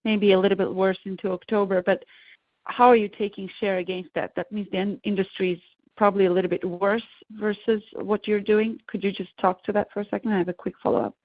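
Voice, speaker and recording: A woman's voice: medium pitch, flat delivery, quick pace, dry recording. A woman calling in as a financial analyst, politely putting probing questions on an earnings call. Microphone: phone call. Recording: dry, thin phone line.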